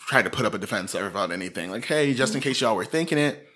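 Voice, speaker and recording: A man with a fairly low voice and a bright, forward tone chats about a true-crime case. He rambles loosely, full of "like" and "um," with easy laughs between thoughts. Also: expressive, fast talker. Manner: chatty, rambling, lots of fillers and laughs. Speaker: podcast co-host. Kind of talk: casual true-crime chat.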